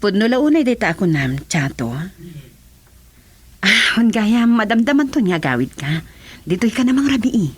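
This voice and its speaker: A woman's voice: medium pitch, sing-song, unhurried, long pauses, husky. A Filipino woman playing an inquisitive madam in a radio drama, with emphatic, questioning delivery. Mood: teasing.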